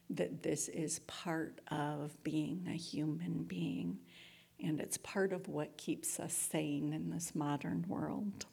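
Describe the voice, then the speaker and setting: A woman giving an inspirational talk to her community in a measured, reflective, earnest manner, with a husky, creaky voice. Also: low voice, darkish tone.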